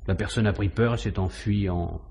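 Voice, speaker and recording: Low, dark-voiced police inspector, firm and probing, vintage French radio crime drama. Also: male voice, moderate pace, vocal fry. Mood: confiding, deliberate. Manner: insistent, probing, authoritative questioning. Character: methodical, firm police inspector interrogating a suspect. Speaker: actor. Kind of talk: 1950s French radio mystery drama acting.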